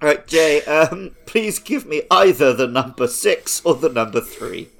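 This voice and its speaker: A man's voice: fairly high pitch, expressive, unhurried, gravelly, fairly bright tone, slight background noise. A man hosting a comedy panel game in a casual, jokey, sweary way. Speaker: game show host.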